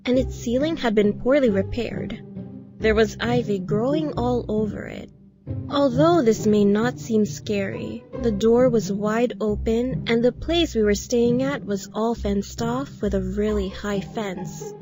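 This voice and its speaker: A woman's voice: on the high side, measured pace. A woman narrating a scripted first-person horror story. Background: background music.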